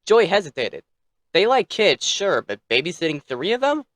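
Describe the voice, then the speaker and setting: A man's voice: sits high, big pitch swings, moderate pace, a bit bright, dry recording. A man telling a bedtime story as narrator, theatrical, with playfully snippy asides.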